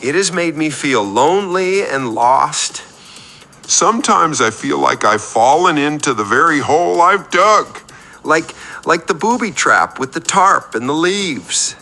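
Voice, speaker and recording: A man's voice: fairly high pitch, animated, unhurried, sharp tone, full character voice. An actor playing a lonely, self-pitying man who calls a radio show to confess his troubles in a rambling way. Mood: dejected. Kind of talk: radio play confessional phone-in. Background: music under the voice.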